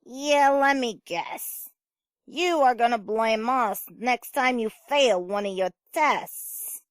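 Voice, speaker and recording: Slow, sardonic woman playing a teasing neighbour. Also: on the high side, no room sound, clean studio sound. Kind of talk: amateur audio drama acting.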